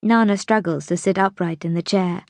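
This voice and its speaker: Woman reading an audiobook, kinda low and mellow, measured dramatic storytelling. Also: fairly even intonation, no room sound. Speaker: audiobook narrator. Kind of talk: expressive scripted story narration.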